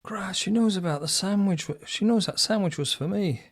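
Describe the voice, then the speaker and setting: A man's voice: sits high, moderate pace. A man playing a fussy, anxious, mildly pompous shop supervisor in an audio drama comedy, speaking earnestly and fretfully. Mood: worried.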